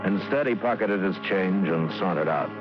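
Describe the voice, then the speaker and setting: A man's voice: sits low, normal speed, natural voice. A man with an American accent delivering scripted narration for a 1950s radio crime drama. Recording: dull 1950s radio recording. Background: prominent dramatic music.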